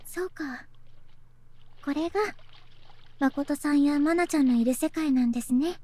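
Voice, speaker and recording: Woman voicing a high-pitched polite anime schoolgirl. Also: a little fast, mellow, close to an everyday voice. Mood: wistful. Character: teenage schoolgirl, polite, whimsical, faintly mysterious. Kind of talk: Japanese visual novel voice acting. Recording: background music under the voice.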